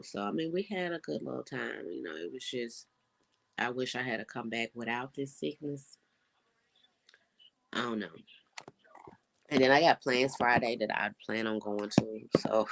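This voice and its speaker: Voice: mid-range voice, moderate pace, creaky, pauses often, fairly bright tone. A young adult with a stuffy, congested nose, giving a rambling, laid-back vlog update full of fillers. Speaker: vlogger.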